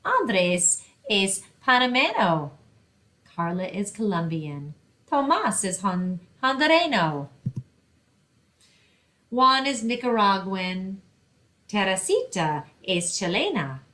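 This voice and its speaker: A woman's voice: medium pitch, big pitch swings, slow, long pauses, fairly bright tone. A woman reading a picture book aloud and explaining things simply for children, as a librarian hosting story time.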